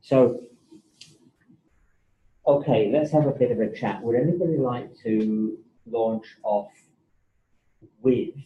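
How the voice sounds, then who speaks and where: A man teaching a university class, talking in a relaxed, chatty way with joking asides, recorded on a table mic in a college classroom. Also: medium pitch, slow, long pauses, dull audio, slight background noise. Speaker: university lecturer. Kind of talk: classroom session recorded for online students. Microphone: table mic in the room.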